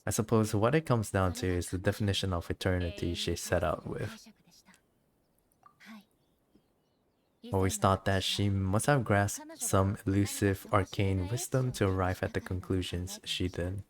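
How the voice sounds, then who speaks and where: A woman voicing a dignified, reflective female shogun from a game in a formal, measured, solemn manner. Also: very deep voice.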